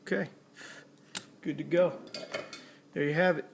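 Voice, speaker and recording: Guy doing a casual repair how-to, monotone, lots of vocal fry, talks while working, slight background noise. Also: fairly high pitch, unhurried. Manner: plainspoken, step-by-step, talking while working. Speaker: electronics hobbyist demonstrating a repair. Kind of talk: casual hands-on tutorial narration.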